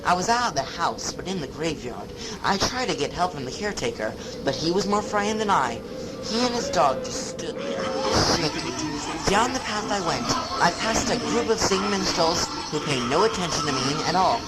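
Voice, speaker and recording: A storyteller narrating a scripted, spooky first-person tale in a measured storybook manner. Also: mid-range voice, very animated, rough recording. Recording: loud music under the voice. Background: loud music.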